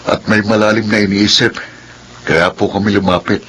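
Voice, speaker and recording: A man's voice: on the low side, fairly even intonation, moderate pace, a bit bright, slight background noise, lightly acted. A young man playing a worried, hesitant grown son in a radio drama.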